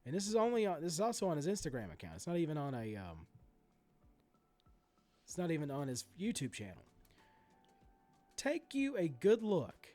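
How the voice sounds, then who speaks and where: A man's voice: on the high side, huge pitch swings, moderate pace, pauses often, dark tone, faint music behind. A middle-aged man with a sore throat and stuffy nose, ranting indignantly as host of a sports card channel. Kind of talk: ranting commentary. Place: home room.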